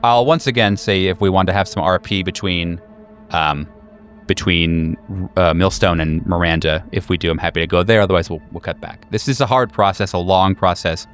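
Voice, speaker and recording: Lowish-voiced man running a game. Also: moderate pace, fairly bright tone, soft background music. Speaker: game master. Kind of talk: narrating a tabletop horror game.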